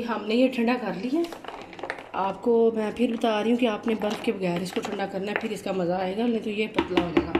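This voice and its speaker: A woman's voice: medium pitch, background noise. Chatty woman demonstrating a recipe at home. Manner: chatty, keeps inviting viewers to look. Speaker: cooking channel host. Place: home kitchen, indoors. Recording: home kitchen with utensil clatter. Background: clattering dishes and utensils.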